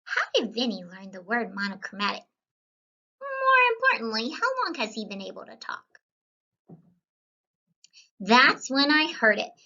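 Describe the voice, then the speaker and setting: A woman reading a children's story as a whimsical, wisecracking young student painter, with animated delivery and playful, wry asides. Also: a little high, a little slow, pauses often, lo-fi sound, exaggerated voice. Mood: curious, surprised. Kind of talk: scripted children's read-aloud.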